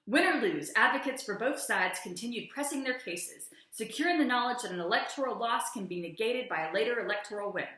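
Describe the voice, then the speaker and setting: Echoey, bright-voiced woman reading dramatically. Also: medium pitch. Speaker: host of a video channel, reader. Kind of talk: dramatic reading aloud of a legal opinion. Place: room at home beside a stairwell, indoors. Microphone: camera or laptop microphone at about arm's length. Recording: very echoey home room.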